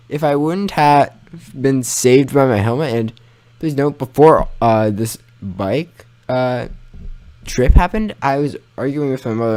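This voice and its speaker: A young man with a medium-pitched voice tells a personal story as if for his gaming channel. His delivery is informal and loose, with some mild swearing. He talks about himself in a self-mocking way. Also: darkish tone.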